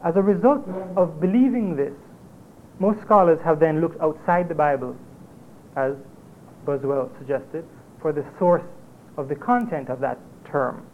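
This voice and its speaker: A man with a Jamaican accent presenting an academic paper at a conference, in a measured lecturing manner with occasional hesitations. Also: on the high side. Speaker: conference speaker. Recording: tinny phone-like lo-fi recording with faint hiss.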